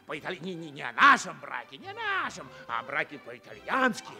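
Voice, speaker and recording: High-pitched, blustering comic guard captain. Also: male voice, huge pitch swings, fairly quick, creaky, sharp tone, exaggerated voice. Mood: self-important. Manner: stammering, blustering. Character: pompous, boastful captain of the palace guard, comic. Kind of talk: theatrical commedia dell'arte radio comedy.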